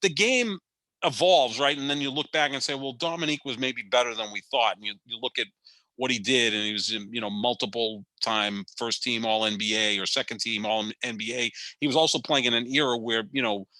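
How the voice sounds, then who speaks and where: A man's voice: mid-range voice, a little fast, bright, forceful tone, dry recording, poor audio. A man in his fifties co-hosting a sports podcast, giving opinionated, rambling takes in casual debate and often restarting his sentences. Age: 50s. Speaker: podcast co-host.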